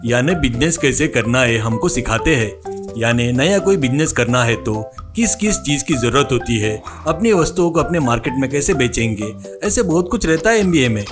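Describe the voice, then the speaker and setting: Man voicing a clever student with animated delivery. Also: mid-range voice, moderate pace, music in the background, lightly acted. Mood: helpful, explaining. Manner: explaining, advising. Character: clever, helpful young college student friend.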